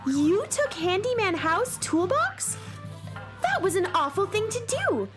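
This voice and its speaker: A woman's voice: extremely high pitch, very animated, measured pace, over music, heavily acted. A woman playing an earnest, kindhearted young cowgirl heroine in a children's radio drama. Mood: earnest, concerned. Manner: earnest, storytelling. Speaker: narrator and lead actor.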